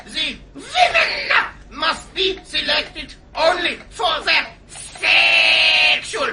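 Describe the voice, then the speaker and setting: Shrill, manic old German mad scientist. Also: male voice, big pitch swings, drawn-out words, gravelly, shouting, full character voice. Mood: manic rapture. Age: older. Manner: theatrical, frenzied, gasping exclamations. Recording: heavy noise and clicks.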